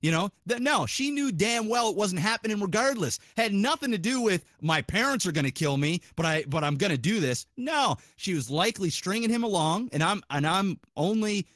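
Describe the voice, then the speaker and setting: A man talking as a podcast host, giving a casual, opinionated monologue in an animated, rambling, profanity-heavy and argumentative way. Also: high voice, moderate pace, few pauses, no room sound, studio quality.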